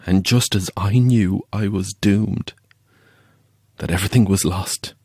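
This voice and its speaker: An actor playing a haunted believer in the paranormal, telling a chilling tale in a deliberate, halting way for an audio drama. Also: male voice, deep voice, steady tone, a little mellow, slightly rough, no echo, studio quality, exaggerated voice. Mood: dread. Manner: deliberate, halting, suspenseful storytelling.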